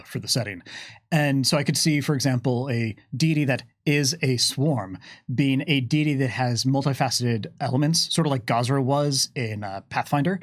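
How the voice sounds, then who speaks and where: A man hosting a livestream Q&A in an unscripted discussion, sometimes halting as he searches for words. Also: mid-range voice, dry recording, clean studio sound. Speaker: host of a livestream Q&A.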